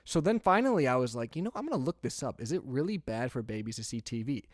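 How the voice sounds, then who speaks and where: A man's voice: medium pitch, sing-song, fast talker, dry recording, studio quality. A young man co-hosting a podcast, chatting casually and laughing easily as he tells stories. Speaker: podcast co-host. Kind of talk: casual chat.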